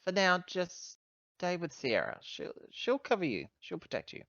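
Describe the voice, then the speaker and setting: A man voicing a brisk, caring, matter-of-fact female crew member in a tabletop game. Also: high voice, wildly swooping pitch, gravelly, bright, forceful tone, no echo, dull audio, pitched above the performer's own voice, full character voice. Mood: reassuring.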